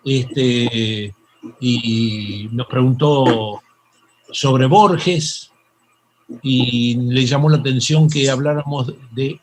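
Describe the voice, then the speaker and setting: An older Argentine man with a Rioplatense accent, a psychoanalyst telling stories in an interview, halting with many fillers and restarts. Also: medium pitch, a little hiss or hum, rough recording. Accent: Rioplatense Spanish. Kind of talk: reflective interview storytelling.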